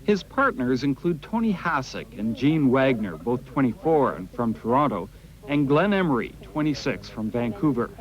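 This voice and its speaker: A man narrating a television news report in a measured broadcast style. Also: medium pitch, very animated. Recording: slightly dull broadcast audio with faint noise.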